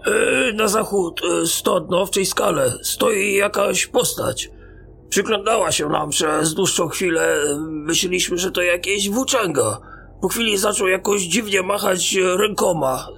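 Man acting a high, creaky, nervous ensign. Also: fairly bright tone, exaggerated voice. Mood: nervous, hesitant. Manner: halting, stammering. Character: nervous, deferential male ensign reporting to officers. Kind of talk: fantasy audio drama acting.

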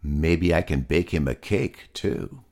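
A man's voice: extremely low pitch, takes it slowly, exaggerated voice. A man voicing a kind, motherly female pig who speaks graciously. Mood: delighted. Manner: gracious, warm.

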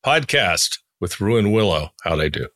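A man's voice: deep voice, sing-song, a little slow, a bit bright. A man hosting a podcast, reading scripted promos and introductions and putting questions to a guest. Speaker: podcast host.

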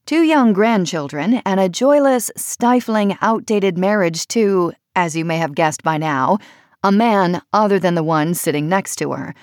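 A woman narrates a personal essay for an audiobook. Her voice sits a little low. She phrases each line in a measured but expressive way, in a dry, echo-free recording.

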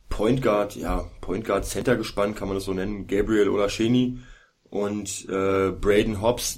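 A man co-hosting a sports podcast, recapping games in a halting way with many filler sounds. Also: fairly low pitch. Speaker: podcast co-host.

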